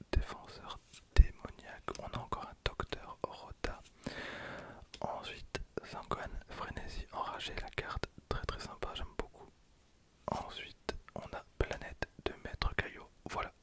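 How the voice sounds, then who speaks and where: An ASMR creator in their twenties speaking in a hushed, breathy whisper, casually commenting on card packs and softly repeating phrases. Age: 20s.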